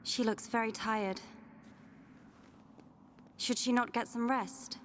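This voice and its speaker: A woman's voice: a little high, fairly even intonation, slow, slight background noise. An actress playing a caring, serious young woman fighter in a video game drama. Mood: gentle. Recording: game music behind voice.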